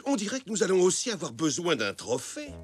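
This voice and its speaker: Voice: mid-range voice, huge pitch swings, brisk. A performer playing a genial zebra father who runs a contest in a French children's cartoon, with a French accent. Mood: cheerful, welcoming. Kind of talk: animated children's cartoon dub. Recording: clear music behind the voice.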